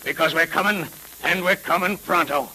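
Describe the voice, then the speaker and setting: An American actor playing a menacing, cocky gangster in 1930s radio style, curt and threatening. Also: male voice, a little high, moderate pace, sharp tone, rough recording. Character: menacing, cocky male gangster guarding a captive boy. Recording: hissy 1930s radio recording.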